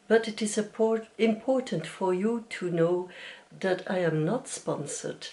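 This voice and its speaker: A woman's voice: on the low side, a little mellow. An older woman from Belgium, a native Dutch speaker with a Belgian Dutch accent, hosting her knitting podcast and talking carefully with frequent hesitations. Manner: measured, with frequent hesitations and self-corrections. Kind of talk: slow, careful casual talk to camera.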